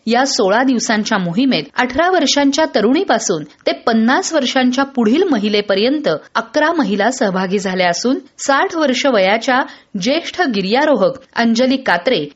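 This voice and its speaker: High-voiced woman reading radio news. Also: muffled recording. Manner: formal, measured. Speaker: radio newsreader. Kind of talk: scripted news narration.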